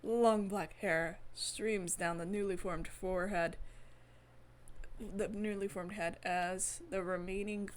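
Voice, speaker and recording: A woman's voice: mid-range voice, fairly even intonation, slow pace. Woman reading a game aloud, some background noise. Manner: playful, wry asides on the story. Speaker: let's-play streamer. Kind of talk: reading a visual novel aloud with casual commentary.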